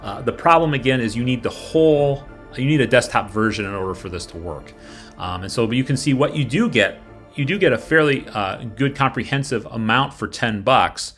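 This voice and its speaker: A man's voice: medium pitch, lively intonation, a little fast, a bit bright. A man with a mid-range voice gives an informal product walkthrough. He explains things step by step and talks directly to the viewer, with music playing behind him. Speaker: presenter of a software review video. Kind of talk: casual product walkthrough over screen capture. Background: background music.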